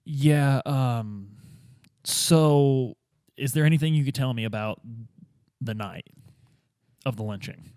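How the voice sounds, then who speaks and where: A man's voice: medium pitch, slow pace, pauses often, a little mellow, clear, resonant tone, no room sound, studio quality, lightly acted. A man playing a hesitant, well-meaning police detective who fumbles informally through his questions in a tabletop roleplay. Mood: probing.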